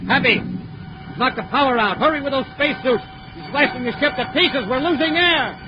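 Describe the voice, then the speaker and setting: A level-headed commander of a space patrol in a 1950s radio serial. He is decisive and talks fast at a middle pitch. The old recording is dull, with very heavy noise. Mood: urgent, commanding.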